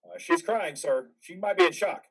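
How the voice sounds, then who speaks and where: A man's voice: sits high, normal speed, a bit bright, recorded from a distance. A man in a reader's theater drama playing a troubled, haunted army veteran, earnest and hesitant. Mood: anxious, resisting.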